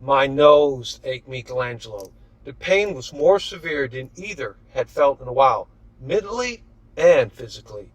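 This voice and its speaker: A man's voice: mid-range voice, sing-song, normal speed, no room sound, low-quality recording. A man reading his own novel aloud as scripted audiobook narration.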